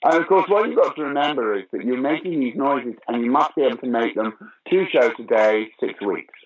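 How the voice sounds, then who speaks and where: A man's voice: medium pitch, expressive, fairly quick, highs cut off. An older man telling theatre anecdotes in an interview, with a theatrical, emphatic manner. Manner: theatrical, emphatic storyteller who acts out remarks. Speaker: interview guest, pantomime actor and former opera singer. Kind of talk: animated anecdotal interview talk.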